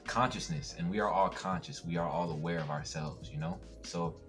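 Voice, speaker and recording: A young man from the US East Coast, a guest on a podcast, talking in a relaxed, reflective way and laughing often. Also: low voice, normal speed, over music. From United States, East Coast. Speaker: podcast guest, TikTok creator. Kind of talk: casual podcast chat.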